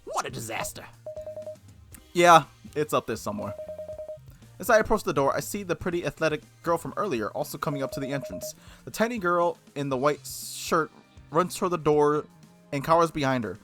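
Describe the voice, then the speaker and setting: Male let's-play host, lively swings in pitch, reading story text with casual asides, music behind. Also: fairly quick. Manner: expressive read-aloud, slangy asides. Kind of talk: reading a visual novel aloud with casual reactions.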